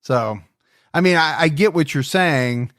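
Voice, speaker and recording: A man's voice: fairly high pitch, lively intonation, measured pace, a bit bright, no echo, studio quality. A man hosting a true-crime podcast in casual, earnest chat, often repeating words as he stresses a point. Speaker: podcast host.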